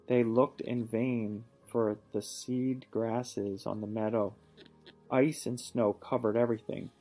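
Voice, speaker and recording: A man reads a children's story aloud at an unhurried pace in a soft-edged, mellow voice. He is patient and encouraging, stopping to explain or ask a question. Music plays behind him. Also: mid-range voice. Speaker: father reading a picture book aloud to a child. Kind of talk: storybook read-aloud with teaching asides.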